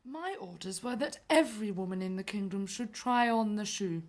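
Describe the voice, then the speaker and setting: A woman's voice: mid-range voice, expressive, normal speed, natural voice. A woman voicing a fussy male royal footman with an officious, formal manner in a pantomime radio play.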